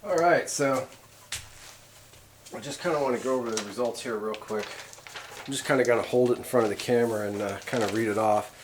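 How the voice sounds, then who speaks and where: A man's voice: medium pitch, a little fast, a little hiss or hum. A man giving a casual, rambling hands-on demonstration as he explains gear, in an informal manner. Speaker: presenter of CB radio antenna tests.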